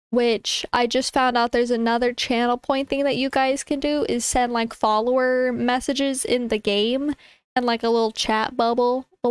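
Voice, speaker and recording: A young woman on a live stream with a high, clean voice that sounds a little stuffy and congested. She talks slowly and chattily, greeting viewers by name and stumbling over her words. The recording is dry and close. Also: smooth, no room sound. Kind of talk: casual stream chat.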